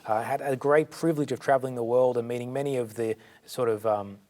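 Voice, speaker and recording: Earnest Australian man, mid-pitched voice. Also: fairly even intonation, fast talker, a little mellow. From born in India, raised in Sydney. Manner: earnest, fluent, with frequent restarts. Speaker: charity director, guest. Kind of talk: interview answers.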